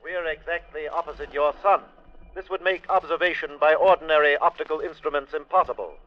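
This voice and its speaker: An actor playing a formal, clipped alien commander in an old radio drama, through a radio transmission filter. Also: male voice, a little high, fairly even intonation, brisk, dull audio. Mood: measured, authoritative. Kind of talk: 1940s-50s radio drama acting. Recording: band-limited old radio recording.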